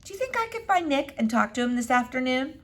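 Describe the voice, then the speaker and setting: Woman reading as an inquisitive reporter, lively intonation. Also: high voice, fast, pitched above the performer's own voice. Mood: curious. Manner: polite, probing, questioning. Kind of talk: children's storybook read-aloud.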